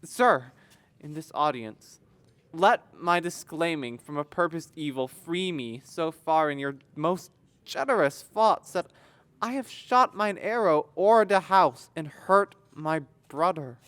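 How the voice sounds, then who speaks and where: A young woman acting a courtly, impassioned young prince in a Shakespearean audio drama, with measured, declamatory verse. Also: sits low, expressive, fairly bright tone, dry recording, full character voice. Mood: earnest, contrite.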